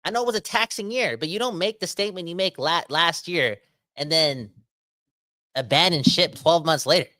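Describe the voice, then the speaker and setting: A man, rambling through a casual livestream rant full of slang. His voice is bright and forward, and he talks straight to his viewers as he reads their comments aloud. The close mic is dry. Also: fairly high pitch, moderate pace, no room sound. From Canada. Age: 30s. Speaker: podcast host reading live chat comments.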